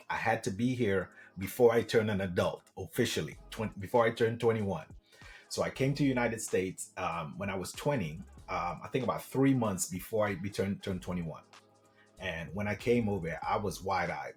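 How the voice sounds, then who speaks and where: A middle-aged man from Trinidad and Tobago, a guest telling his life story in a friendly, animated way and often saying "man". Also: lowish voice. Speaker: guest, government contracting coach. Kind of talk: casual interview storytelling.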